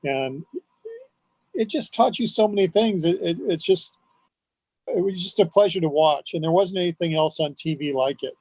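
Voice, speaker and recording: An older man reminisces as an interview guest. His voice is a little high for a man, and the sound is dry, with almost no room to it. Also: normal speed, a little mellow, breathy edge, no room sound, rough recording.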